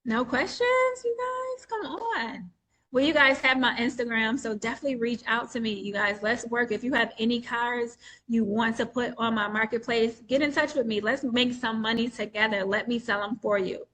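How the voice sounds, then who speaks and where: A woman's voice: fairly high pitch, moderate pace, a bit bright. A woman coach on a video call, answering questions at length with frequent restarts and fillers. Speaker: coach selling a mentorship. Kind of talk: live webinar Q&A.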